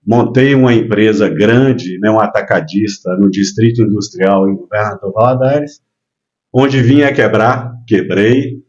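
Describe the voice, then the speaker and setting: A man in his sixties from Minas Gerais, a guest telling his life story in relaxed, casual chat with frequent hesitations. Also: fairly low pitch, a little slow, dull audio. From Minas Gerais, Brazil. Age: 60s. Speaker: guest, local businessman.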